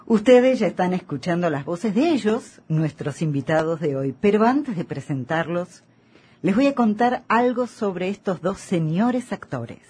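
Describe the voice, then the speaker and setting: An actress with an Argentine accent playing a polished, courteous host of a theatre radio programme, with a raspy voice. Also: female voice, lowish voice, animated, measured pace, a little mellow. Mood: formal, presenting. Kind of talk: radio show hosting.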